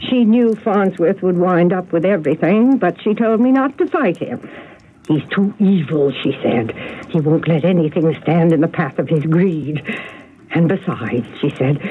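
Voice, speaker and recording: An actress playing a genteel, agitated older spinster telling family secrets in a radio mystery drama. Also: female voice, sits low. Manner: fretful, genteel, dramatic storytelling. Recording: narrow, noisy old radio broadcast recording.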